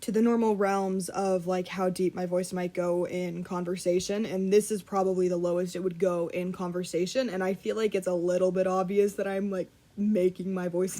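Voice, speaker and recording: A young woman vlogging in a casual, self-deprecating ramble, laughing often and swearing offhand while trying to make her voice deeper. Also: warm tone. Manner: deliberately lowering her voice. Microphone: computer mic.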